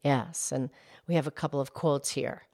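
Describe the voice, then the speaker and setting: Woman, podcast co-host, measured, matter-of-fact, explanatory talk. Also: extremely low pitch, fairly even intonation, vocal fry, a little mellow.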